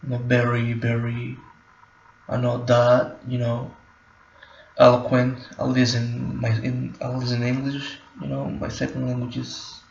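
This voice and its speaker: Halting man, flat and gravelly. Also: medium pitch, a little hiss or hum. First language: Spanish. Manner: halting, many fillers and restarts. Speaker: vlogger. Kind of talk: personal reflective monologue. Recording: roomy, muffled home recording.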